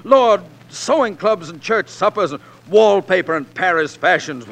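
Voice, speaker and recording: A man's voice: sits high, lively intonation, moderate pace, creaky, a bit bright, exaggerated voice. An actor playing a restless, grandiloquent frontier newspaperman and husband in the florid manner of 1940s radio drama. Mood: excited, urgent. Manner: theatrical, coaxing, florid. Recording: old 1940s radio recording.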